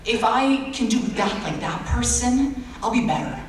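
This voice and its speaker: A man's voice: very high-pitched, animated, measured pace, a little hiss or hum. Teen boy's speech, echoing hall from afar. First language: Bulgarian. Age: late teens. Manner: earnest, self-deprecating, with playful asides. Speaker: valedictorian. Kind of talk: graduation speech. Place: large school auditorium. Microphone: far-off audience camera. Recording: echoey hall recorded from far off.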